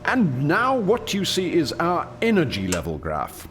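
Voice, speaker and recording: A man's voice: on the high side, wildly swooping pitch, measured pace, fairly bright tone, faint music behind, heavily acted. An actor playing an earnest alien scientist in a radio play, hesitant and deferential as he explains and defends his work. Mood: calm, explanatory.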